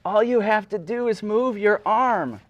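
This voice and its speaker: Guy acting as earnest exasperated young man freezing in water, highish voice, dry sound. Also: measured pace, dry recording, muffled recording. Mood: exasperated, begging. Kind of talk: parody dramatic reading.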